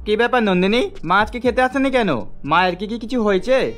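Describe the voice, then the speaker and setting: A concerned family man in a cartoon. He sounds earnest, pitched a little high for a man, over faint music. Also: expressive, fairly quick, a bit bright. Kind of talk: cartoon voice acting.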